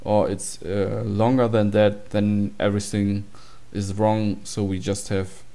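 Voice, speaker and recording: Male tutorial presenter: low pitch, gravelly fry, plain matter-of-fact explaining. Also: little pitch movement, measured pace, warm tone. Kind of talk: informal screen-recorded code walkthrough.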